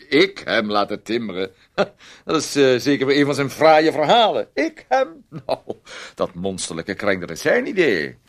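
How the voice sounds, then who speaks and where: A man acting in a Dutch radio play as a smooth, condescending figure who needles and insinuates in an unctuous, mocking way. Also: mid-range voice, sing-song, unhurried. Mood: mocking, needling.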